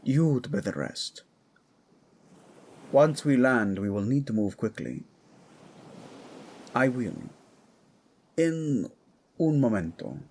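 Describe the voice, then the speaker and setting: A man playing a devoted, commanding husband and soldier in an audio drama, speaking gravely with a gravelly voice. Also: medium pitch, big pitch swings, slow pace, long pauses, a little mellow, a little hiss or hum. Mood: worried, determined. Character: devoted, commanding husband leading soldiers to rescue his wife.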